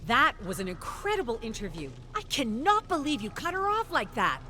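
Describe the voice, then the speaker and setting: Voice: mid-range voice, huge pitch swings, normal speed, pushed and bright, a little hiss or hum, voice pushed up. A narrator voicing a determined female talk show host who pushes back hard against her producer. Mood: incredulous. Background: game music.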